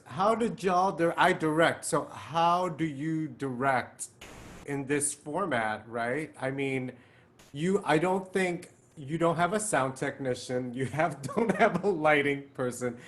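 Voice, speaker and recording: A man who directs theatre, chatting in an animated, playful way on an online panel. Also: mid-range voice. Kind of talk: casual panel discussion. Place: remote video call.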